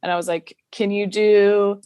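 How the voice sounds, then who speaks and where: A woman's voice: mid-range voice, flat delivery, normal speed, mellow, clean tone, dry recording. A woman comedian chatting casually as a podcast guest over a video call, laughing often between her points. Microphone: remote video call mic.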